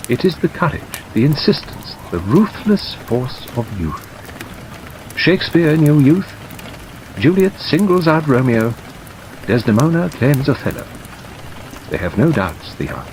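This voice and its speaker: A man's voice: mid-range voice, low-quality recording. Man acting a theatrical, sentimental elderly lawyer. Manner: declaiming, melodramatic, with sighs and pauses. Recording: steady rain noise over narration.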